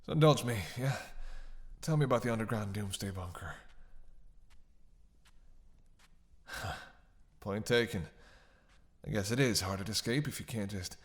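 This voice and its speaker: A man's voice: lowish voice, fairly quick, husky, no room sound. A man playing a witty, flirtatious, weakened male vampire in an intimate audio roleplay, with playful, sardonic delivery. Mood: playful teasing. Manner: playful, sardonic, murmuring asides and sighs.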